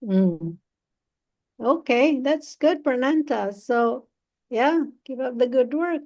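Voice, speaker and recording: A woman tutoring English over a video call, talking slowly and encouragingly with many fillers. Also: high-pitched, lively intonation, a little mellow. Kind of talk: encouraging online speaking practice.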